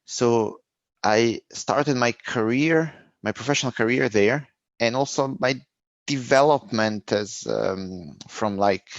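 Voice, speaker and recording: A man's voice: medium pitch, no echo, muffled recording. A middle-aged man from Serbia, a guest answering interview questions thoughtfully, often restarting sentences. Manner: thoughtful, searching for words, frequent restarts.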